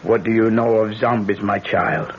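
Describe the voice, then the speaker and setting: An actor playing a fierce, vengeful older voodoo practitioner in an old-time radio drama, speaking in a deliberate, incantatory way on a noisy recording. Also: mid-range voice, fairly even intonation, husky, muffled recording, close to an everyday voice. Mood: ominous, deliberate.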